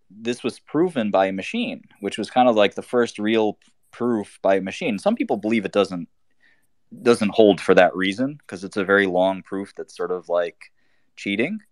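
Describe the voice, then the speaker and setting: A man's voice: low voice, animated, moderate pace, dry recording, clean studio sound. A man from Albania hosting a live audio chat, talking loosely with many fillers and restarts. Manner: rambling, lots of fillers and restarts. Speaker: host.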